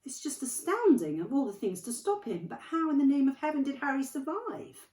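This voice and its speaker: Stern, clipped older witch teacher, put on by a woman reading aloud, lifted high and lively, roomy recording. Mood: distressed. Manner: brisk, clipped and impatient. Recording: echoey room, distant mic.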